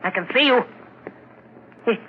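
A man's voice: very high voice, expressive, a little slow, slight background noise. An actor playing a terrified old man in a 1940s radio drama, crying out in a panicked shriek. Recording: muffled old radio broadcast.

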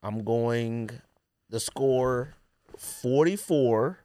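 An American man co-hosting a podcast, chatting casually about sports in an animated, opinionated, slangy way. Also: medium pitch, extremely slow, no echo. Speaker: sports and sneaker podcast co-host.

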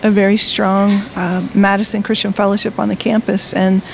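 A woman's voice: mid-range voice, little pitch movement, normal speed, gravelly. A woman in her sixties from Delaware, with a mid-range voice, tells her life story with frequent laughter. Recorded in a coffee shop, with faint café noise behind her. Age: 60s. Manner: cheerful, laughs often. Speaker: guest, retired teacher. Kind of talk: personal testimony in conversation.

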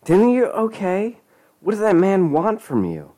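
A man's voice: high-pitched, wildly swooping pitch, moderate pace, dark tone, voice pushed up, exaggerated voice. A man voicing an anxious, motherly woman in a story, screechy, pleading and distraught.

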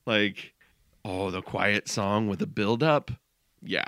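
A man's voice: fairly low pitch, slow, fairly bright tone, clean tone, dry recording, clean studio sound. A man co-hosting a music podcast, talking in a laid-back, musing way with goofy asides. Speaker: podcast co-host. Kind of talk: casual podcast chat.

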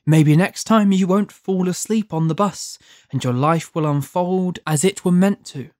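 A serene female angel from a storybook, as a narrator puts her on. The voice is delicate and composed, with measured phrasing. It sounds close and dry. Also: medium pitch, darkish tone, no room sound, pitched above the performer's own voice, close to an everyday voice. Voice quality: delicate, glittery.

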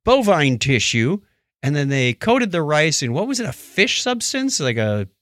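A man's voice: fairly high pitch, big pitch swings, normal speed, fairly bright tone, dry recording, clean studio sound. A man co-hosting a casual podcast about news stories, chatting in a joking manner.

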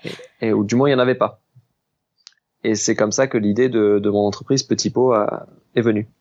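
A man in his thirties from southwest France, a pastry chef telling his story in a relaxed, unhurried way in an interview. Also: on the low side, muffled recording. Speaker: pastry chef and business founder. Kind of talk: casual interview conversation.

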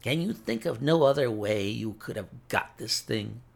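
A man's voice: medium pitch, expressive, moderate pace, vocal fry. A man voicing a gloating, cruel villain in an audiobook story, taunting with smug mock politeness.

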